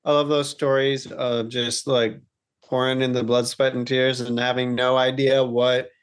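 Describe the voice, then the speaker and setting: A man's voice: mid-range voice, level tone, unhurried, clear, resonant tone. A man hosting a casual conversational interview, enthusiastic and affirming, often restarting his phrases. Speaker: podcast host.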